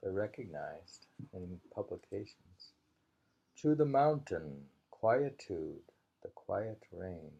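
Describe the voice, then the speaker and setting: A man with a gravelly, somewhat husky voice reads haiku and adds casual, musing remarks. He takes his time, often repeating a line. The recording is a little dull. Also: deep voice, sing-song, slow pace, dark tone. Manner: musing, repeats each poem. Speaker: reader and host of a poetry reading. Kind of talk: reading haiku aloud with casual commentary.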